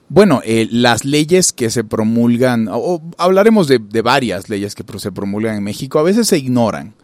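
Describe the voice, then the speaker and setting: A man's voice: fairly low pitch, darkish tone, no room sound. A man from Venezuela hosting a casual interview, asking long, loose questions padded with "eh" and "este". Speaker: podcast host, interviewer.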